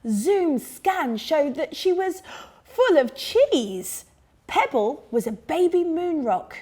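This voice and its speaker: Woman storyteller, high swooping voice, slow pace, expressive, children's tale, music underneath. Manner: expressive, clearly dramatised delivery. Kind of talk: animated scripted storytelling for young children. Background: music under the reading.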